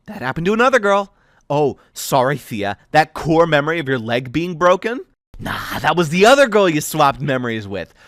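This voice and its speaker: A man's voice: a little high, normal speed, a bit bright, no room sound, clean studio sound, pitched above the performer's own voice, natural voice. A man voicing a bitter, sarcastic young woman. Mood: bitter sarcasm. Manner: mocking, confrontational, biting sarcasm.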